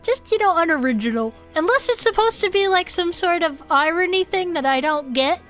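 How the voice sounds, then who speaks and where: A woman's voice: very high voice, animated, fairly quick, a bit bright, soft background music. A woman voicing a small, chatty creature who co-hosts a comedy fiction podcast in a folksy, matter-of-fact way. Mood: matter-of-fact, amused. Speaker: podcast co-host. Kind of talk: comedic improvised in-character banter.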